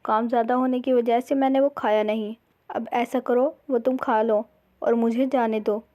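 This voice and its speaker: A woman's voice: on the high side, steady tone, normal speed, dark tone, close to an everyday voice. A woman voicing a poor, principled village husband, earnest in manner, in a cartoon storybook tale. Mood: pleading. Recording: slightly dull, lo-fi sound.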